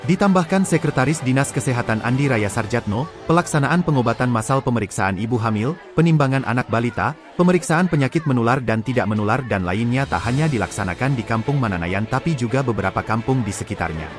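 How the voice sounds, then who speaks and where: Man, news voice-over, quick delivery, music bed. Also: medium pitch, lively intonation. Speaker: news narrator.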